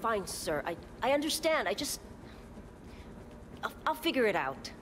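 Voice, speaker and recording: A woman's voice: a little high, big pitch swings, a little slow. A woman playing a blunt, exasperated, foul-mouthed policewoman in a video game. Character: frustrated, foul-mouthed policewoman, hard-bitten and wary. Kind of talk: video game drama acting. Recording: noticeable vehicle and ambient noise.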